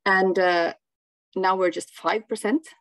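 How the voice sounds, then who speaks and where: A woman from Finland with Swedish as her first language and a Finland-Swedish accent, chatting haltingly as an interview guest on a video call. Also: medium pitch, steady tone, a bit bright, no room sound. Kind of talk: casual conversational interview. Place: small room at home, indoors.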